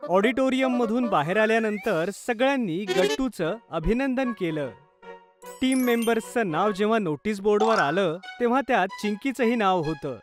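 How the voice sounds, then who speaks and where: A man reads a scripted story for children in the role of narrator. His voice is notably high in pitch for a man. Music plays clearly underneath the narration. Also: lively intonation, moderate pace. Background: background music.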